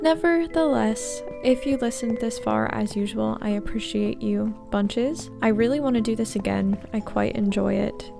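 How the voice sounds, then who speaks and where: Stuffy-nosed woman with a gravelly voice. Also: on the high side. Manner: calm, measured storytelling. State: stuffy nose from a cold. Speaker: gaming channel host. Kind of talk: reading horror stories aloud while gaming.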